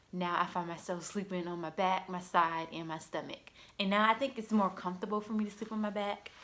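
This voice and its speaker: A young woman in her twenties chatting to the camera in a lowish voice. She talks loosely and warmly, scattering "like" and "you know" through her sentences. A faint hiss of noise sits underneath. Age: 20s. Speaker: vlogger mom. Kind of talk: casual chat to camera.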